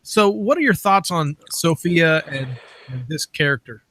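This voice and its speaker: Man, interview host, fairly high pitch, bright forward voice, conversational. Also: expressive, a little slow, no echo.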